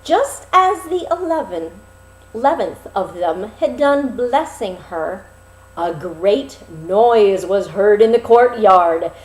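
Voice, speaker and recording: A woman narrating a fairy tale expressively, with dramatic, deliberate phrasing and pauses. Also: mid-range voice, lively intonation, a little mellow. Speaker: storyteller. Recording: roomy, echoing sound.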